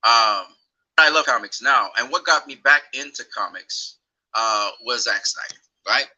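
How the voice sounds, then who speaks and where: Bright-voiced man rambling on a livestream. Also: lowish voice, rough recording. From Trinidad and Tobago. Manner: rambling, repeats words, talks while fiddling with phone. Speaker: livestream host. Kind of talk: casual livestream chat. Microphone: phone.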